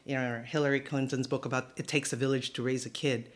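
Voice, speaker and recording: A woman's voice: very deep voice, steady tone, quick pace. A middle-aged woman with an American accent, chatting casually as an interview guest and telling stories by quoting what people said. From Philippines and United States. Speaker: interview guest, newspaper editor. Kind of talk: casual podcast conversation.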